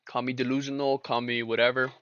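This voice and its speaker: A young man chats loosely with his live-stream audience as he trades, calling them boys and brother in easygoing slang. His voice is bright and forward. The mic sounds close and dry, a little dull. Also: medium pitch, little pitch movement, quick pace, smooth, no room sound. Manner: slangy, laid-back, talks to chat as boys and brother. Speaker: livestream trader and host. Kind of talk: casual live-stream chat while trading.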